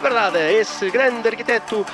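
An actor playing an excitable football commentator in a radio comedy, repeating phrases as the excitement builds. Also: male voice, sits high, a little slow, over loud music. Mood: announcing. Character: excitable male football commentator calling a cup final. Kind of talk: breathless live radio sports commentary parody. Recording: loud backing sound behind the voice.

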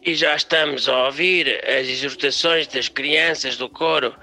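An actor playing the pompous, bossy owner and presenter of a family radio station, hosting a satirical show. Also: male voice, medium pitch, steady tone, measured pace, bright, forceful tone, faint music behind. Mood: solemn, gushing. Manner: pompous, exhorting.

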